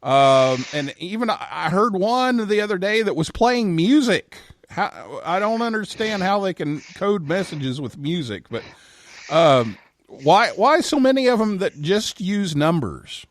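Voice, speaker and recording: A man's voice: sits high, lively intonation, a little slow. A man hosting a radio show, talking casually as he interviews a guest.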